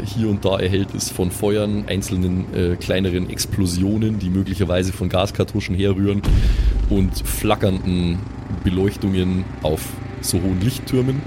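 A man narrating as game master of an improvised tabletop audio drama, descriptive, pausing between phrases. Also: low-pitched, steady tone, background noise. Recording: music bed behind the voice.

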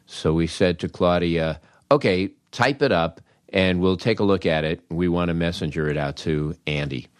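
An older American man hosting a humorous storytelling monologue, wry and animated, acting out dialogue with dramatic pauses. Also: low voice, no room sound, clean studio sound. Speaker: podcast host, comedy writer.

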